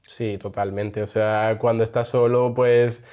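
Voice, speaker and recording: A man's voice: lowish voice, little pitch movement, a little slow, darkish tone, clear, resonant tone. A young man from Spain, chatting casually as an interview guest and laughing often. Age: young adult.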